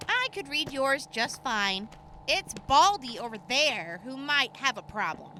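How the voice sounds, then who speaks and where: A woman's voice: high-pitched, sing-song, a little slow, pushed and bright, slight background noise, heavily acted. A woman playing a sassy, teasing mercenary in a post-apocalyptic audio drama.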